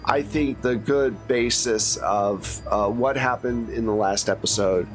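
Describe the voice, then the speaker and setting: A man's voice: medium pitch, unhurried, faint music behind. A man speaking informally and at some length as a game master, recapping the story for players in a conversational way.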